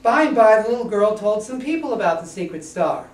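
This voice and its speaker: A man tells a whimsical children's story on a small stage. The delivery is deliberate and rhythmic, with the sound echoey and somewhat distant from the microphone. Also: high-pitched, expressive, darkish tone. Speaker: author reading own story. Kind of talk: children's storytelling to a live audience. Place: small indoor stage before an audience.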